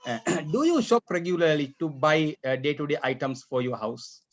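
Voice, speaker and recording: A man running a mock speaking exam as tutor, talking haltingly with many fillers and often clearing his throat, heard over a video call. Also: fairly high pitch, lively intonation. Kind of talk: examiner questions over an online class. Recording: dull lo-fi online call audio.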